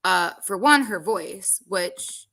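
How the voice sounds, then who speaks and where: Chatty woman host, bright girly-ish voice, lots of um and like. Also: lowish voice, animated, slow, vocal fry. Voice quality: voice others call girly. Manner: rambling, many fillers and restarts. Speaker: podcast host. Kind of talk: casual reality-show commentary.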